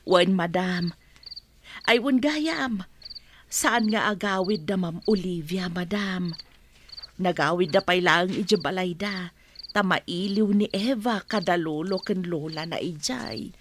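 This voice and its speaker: Slow-spoken household helper, noisy track. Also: female voice, fairly low pitch. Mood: respectful. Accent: Filipino (Ilocano). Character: deferential, caring female household helper. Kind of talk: Ilocano radio drama acting. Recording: noisy track with clicks and insect sounds.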